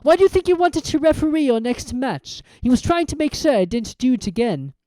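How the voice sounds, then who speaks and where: A woman's voice: sits high, very animated, a little fast, dark tone, no room sound, voice pushed up. A woman voicing a cold, sneering male teacher villain in a storybook reading, cool and mocking. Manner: cool, mocking, mock stutter.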